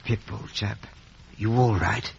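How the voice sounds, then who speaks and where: An actor playing a kindly, meek village blacksmith with a gentle, hesitant, folksy way of talking in a radio drama. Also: male voice, fairly low pitch, unhurried, gravelly. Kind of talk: 1950s radio drama acting. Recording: muffled, noisy vintage radio recording.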